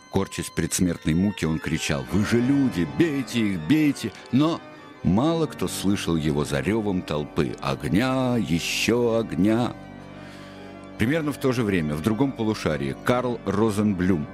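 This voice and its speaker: A man narrating a radio play in a grave, deliberate, literary storytelling manner. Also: low-pitched.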